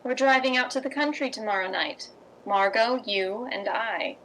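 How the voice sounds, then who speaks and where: A woman playing a sensible, wry wife with a measured, ironic delivery in a radio drama, faint noise on the recording. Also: a little high, fairly bright tone, natural voice, rough recording. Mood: wry, frank. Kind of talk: radio drama acting and narration.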